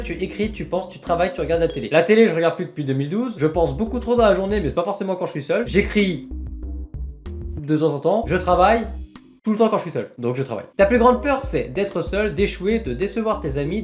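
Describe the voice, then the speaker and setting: Casting: young male video host, very lively intonation, slightly high pitch, self-mocking humour, soft music behind. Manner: playful, self-mocking, animated with mock-dramatic asides. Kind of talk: casual commentary while reading out online quizzes.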